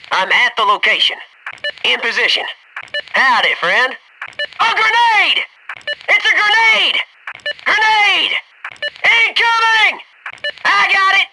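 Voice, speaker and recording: A man's voice: extremely high pitch, background noise, full character voice. A male actor playing a loyal, wisecracking special forces soldier in a military shooter game, speaking in clipped military phrases. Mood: alarmed shouting.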